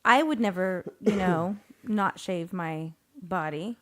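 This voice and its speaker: A woman's voice: lowish voice, slow pace. A tired woman co-hosting a casual podcast, chatting wryly and laughing often. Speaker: podcast co-host. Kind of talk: casual banter.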